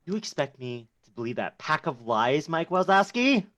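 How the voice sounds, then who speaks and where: A man's voice: a little high, sing-song, moderate pace, fairly bright tone. A man playing a bossy, indignant female monster girlfriend with a demanding, dramatic delivery in a script read. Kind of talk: cast table read of a film script.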